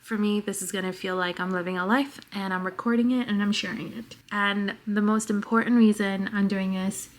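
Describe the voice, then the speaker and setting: A woman in her twenties from New York with a very soft voice, talking reflectively to the camera in a casual vlog at home. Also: mid-range voice, fairly even intonation, brisk, few pauses. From New York, United States. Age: 20s. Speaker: vlogger.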